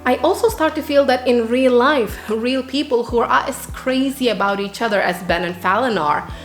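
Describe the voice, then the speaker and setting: A woman's voice: a little high, moderate pace. A woman narrating a scripted book review in an earnest way, with dramatic emphasis. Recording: roomy echo, music underneath. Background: background music.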